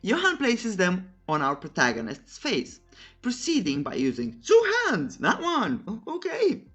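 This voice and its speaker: Cheeky lady doing a recap voiceover, pitch bouncing all over, bit of music behind. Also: wildly swooping pitch, measured pace, fairly bright tone, slightly rough. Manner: cheeky, tongue-in-cheek, coy euphemisms. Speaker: recap channel narrator. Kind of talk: scripted story recap narration with playful asides.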